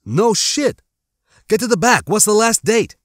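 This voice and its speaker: A man's voice: high voice, animated, measured pace, a bit bright, no room sound, clean studio sound, voice pushed up. A man voicing an easygoing young male squad member in an audiobook. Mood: eager.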